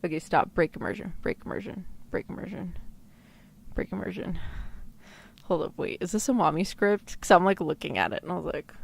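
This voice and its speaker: A woman's voice: lowish voice, a little fast, vocal fry, husky. A woman streamer chatting casually and playfully, full of incredulous exclamations and mild swearing. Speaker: VTuber streamer and ASMR roleplayer. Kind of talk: casual livestream chat.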